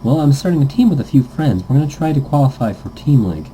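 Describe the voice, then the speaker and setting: A man's voice: medium pitch, animated, fast talker, mellow, slight background noise, voice pushed up, close to an everyday voice, poor audio. A man playing an eager, casual young gamer. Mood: eager, friendly. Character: eager young male foreign gamer, casual and nervous recruiter.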